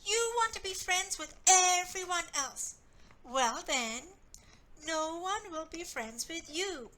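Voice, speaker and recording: A woman's voice: very high voice, animated, slow, sharp tone, voice pushed up, exaggerated voice, poor audio. A woman storyteller voicing a bossy schoolgirl ringleader, speaking in an emphatic, chanting, scornful way. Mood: angry taunting. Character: bossy, taunting schoolgirl ringleader, sneering and exclusionary.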